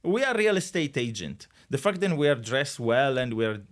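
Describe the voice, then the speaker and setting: A middle-aged Italian man, a guest on a podcast, speaking English with an Italian accent in an animated, jokey way, with many restarts. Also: mid-range voice, moderate pace. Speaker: guest, real estate agent. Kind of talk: casual podcast conversation.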